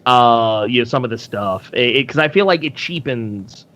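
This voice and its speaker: A man's voice: mid-range voice, expressive, moderate pace, fairly bright tone, dull audio. A man chatting casually as a podcast guest, hesitant and thinking aloud with frequent restarts. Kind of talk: casual live comic book discussion.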